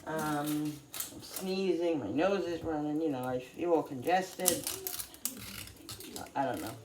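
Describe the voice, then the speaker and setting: Low-voiced woman with a stuffy cold. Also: background noise. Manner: rambling, halting, many fillers. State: congested with a cold. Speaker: cooking vlogger. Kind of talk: casual chat while cooking. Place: home kitchen.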